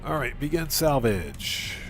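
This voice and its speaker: A man with a mid-pitched voice hosts a gaming livestream in a casual, chatty way. He thinks aloud as he plays, often repeating short phrases, and speaks to his viewers. Music plays faintly behind him. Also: big pitch swings, slow, a bit bright. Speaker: livestream host. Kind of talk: casual gaming livestream commentary, greeting chat.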